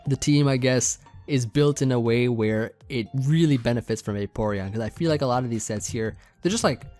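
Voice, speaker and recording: A young man casually talking through a team build, rambling with many fillers, his voice getting a bit hoarse. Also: mid-range voice, brisk, soft background music. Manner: relaxed, rambling, many fillers and restarts, light laughs. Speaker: competitive Pokemon team builder. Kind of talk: casual gaming commentary over team building.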